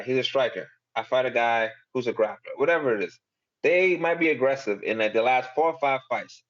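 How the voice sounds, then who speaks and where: A young man talks fast and loose in an interview, tumbling through fillers and quick laughs. His voice sits at a middle pitch. The audio is dull and lo-fi, like a remote call. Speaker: MMA fighter. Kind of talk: casual interview answers.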